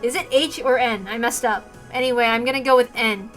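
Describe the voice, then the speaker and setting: A woman's voice: fairly high pitch, fairly even intonation, fairly quick, fairly bright tone, soft background music. A woman reading a visual novel aloud, informal and self-correcting, mixing narration with casual asides.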